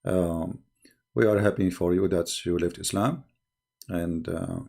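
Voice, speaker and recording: Male live-stream host: deep, gravelly, voice worn out, slow and halting delivery. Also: a little mellow. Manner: halting, reads viewer messages aloud slowly. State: tired, strained voice. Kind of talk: casual live-stream talk.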